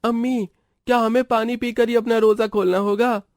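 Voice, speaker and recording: A man's voice: very high-pitched, level tone, moderate pace, dry recording, studio quality, voice pushed up. A man voicing an innocent, eager young boy from a poor family in a cartoon story. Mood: plaintive.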